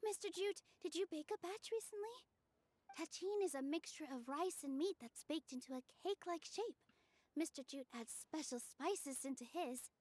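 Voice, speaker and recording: Modest, kindly young woman in a fantasy game: courteous, earnest, strikingly high pitch. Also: expressive, moderate pace, a little mellow, no room sound. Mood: delighted. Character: sweet, modest, polite young woman performer.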